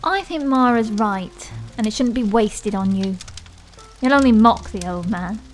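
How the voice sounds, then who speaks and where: A woman's voice: mid-range voice, unhurried, lightly acted. A woman acting an earnest, whimsical young believer in ghosts in an audio drama, with a theatrical manner. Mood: chiding.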